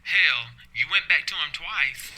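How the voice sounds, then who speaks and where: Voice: medium pitch, expressive, measured pace, crowd noise, lightly acted. A performer with an American accent playing a nosy, teasing, loyal best girlfriend on a phone call in an audio drama, sassy and slangy. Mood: teasing, excited.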